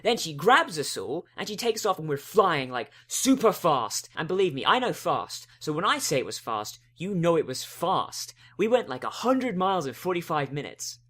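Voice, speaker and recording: A man's voice: fairly high pitch, sing-song, normal speed, hardly any pauses, a bit bright, pitched above the performer's own voice. A man voicing a brash, boastful young female pegasus pony in a slangy, emphatic way. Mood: boastful, excited.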